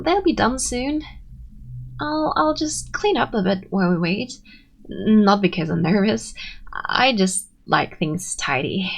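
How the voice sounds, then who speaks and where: A woman's voice: on the high side, vocal fry, full character voice. A woman playing a bashful tsundere friend in an ASMR roleplay, abrasive yet sweet, with flustered hesitations and haughty denials. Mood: nervous. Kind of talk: ASMR roleplay, acted intimate monologue.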